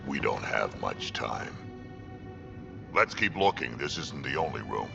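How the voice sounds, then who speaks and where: An intense, gravelly alien fighter. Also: male voice, extremely low pitch, big pitch swings, unhurried, full character voice. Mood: grief-stricken. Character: earnest, anguished male alien resistance fighter. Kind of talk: video game dramatic acting. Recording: dull game audio under music.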